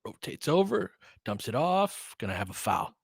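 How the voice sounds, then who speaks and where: A man's voice: fairly high pitch, sing-song, moderate pace, vocal fry, husky, dry recording. Man calling basketball casually, dry close sound. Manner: informal, clipped phrases, mild swearing. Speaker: podcast host doing live play-by-play commentary. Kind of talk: casual live basketball commentary with chat replies.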